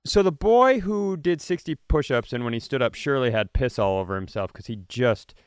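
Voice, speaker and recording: Comedy podcast co-host, male, fast riffing banter, resonant voice, dead-dry mic. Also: medium pitch, expressive, clean tone, no room sound. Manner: rapid riffing, halting restarts.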